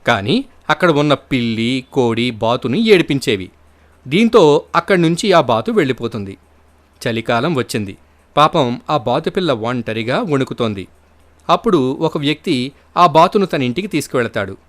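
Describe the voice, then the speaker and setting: A man's voice: mid-range voice, sing-song, moderate pace. A man reading scripted moral stories for children as a storyteller narrator.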